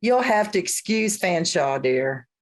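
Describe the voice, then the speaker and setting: A woman's voice: fairly low pitch, sing-song, measured pace. Woman acting a lilting, motherly, menacing witch priestess. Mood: patient, firm. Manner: soothing, condescendingly sweet. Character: motherly, gently menacing small-town witch high priestess. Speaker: actor in a radio play. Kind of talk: radio comedy-drama dialogue.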